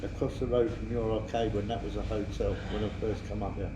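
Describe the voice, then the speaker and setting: A man's voice: on the low side, level tone, brisk, echoey room, distant mic, noisy background. A man with a Southern English accent, teasing in mock-formal banter with friends. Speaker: guest. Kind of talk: casual banter.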